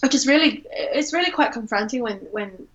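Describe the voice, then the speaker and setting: A woman's voice: fairly high pitch, brisk, fairly bright tone, highs cut off. A woman from Singapore, a poet and teacher, talking reflectively as an interview guest and searching for her words. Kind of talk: reflective literary interview talk.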